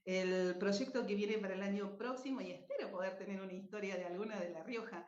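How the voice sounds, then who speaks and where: A woman's voice: mid-range voice, a little fast, darkish tone. Echoey recording of an Argentine woman presenting. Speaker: radio drama writer and speaker at a book fair. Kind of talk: informal conference presentation. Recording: dull, echoey lo-fi room sound.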